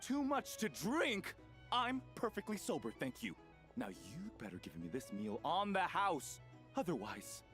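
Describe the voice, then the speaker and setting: High-pitched, blustering grumpy diner. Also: male voice, wildly swooping pitch, measured pace, a bit bright, faint music behind, exaggerated voice. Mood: indignant. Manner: blustering, haughty complaining. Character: grumpy, demanding, indignant male restaurant customer. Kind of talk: scripted anime game voice acting.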